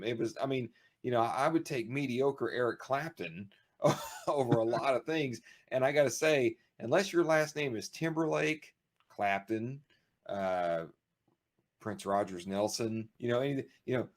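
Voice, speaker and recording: A man co-hosting a casual show, talking in rambling bursts with lots of restarts. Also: mid-range voice. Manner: rambling, animated, frequent restarts and laughs. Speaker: podcast co-host. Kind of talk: casual chat.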